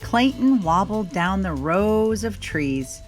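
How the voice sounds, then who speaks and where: A woman reading a children's picture book aloud in an expressive, gentle storytelling manner. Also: medium pitch, slow, music in the background.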